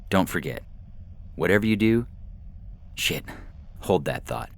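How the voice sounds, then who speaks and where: A man reading a literary short story aloud in measured, deliberate phrases with pauses. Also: low voice, animated, creaky. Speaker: story reader. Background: faint background music.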